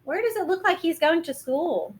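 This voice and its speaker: A woman reading a picture book aloud as a kindergarten teacher, gentle and expressive, explaining simply to young children. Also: extremely high pitch, moderate pace.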